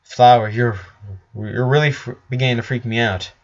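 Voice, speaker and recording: A man's voice: mid-range voice, fairly even intonation, normal speed, lo-fi sound, lightly acted. A man voicing a loyal, troubled male fantasy ranger, earnest and defiant. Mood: uneasy, protesting.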